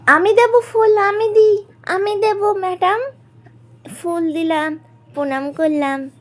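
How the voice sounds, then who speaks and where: A woman's voice: extremely high pitch, steady tone, slow, pitched above the performer's own voice. A woman voicing an eager, childlike little schoolgirl doll in a children's story.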